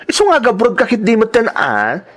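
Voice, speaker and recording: An actress playing a persuasive young woman friend in a radio drama, emphatic with drawn-out exclamations. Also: a little high, wildly swooping pitch. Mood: eager, persuasive. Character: lively, persuasive young woman friend dreaming of working abroad.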